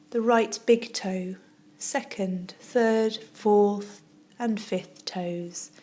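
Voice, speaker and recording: A woman yoga teacher leading a guided relaxation, speaking softly and soothingly in short phrases with long pauses. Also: medium pitch. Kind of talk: guided meditation, yoga nidra narration.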